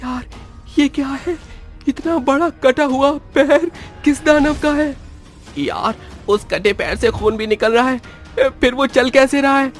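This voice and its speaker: A man's voice: very high-pitched, animated, measured pace, fairly bright tone, exaggerated voice. An actor playing a frightened young man in a horror story, speaking in an urgent, exclamatory way. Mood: bewildered fear. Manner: exclamatory, urgent, panicky delivery. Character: frightened young man trapped in a haunted maze. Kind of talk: dramatised horror story acting. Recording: music under the voice.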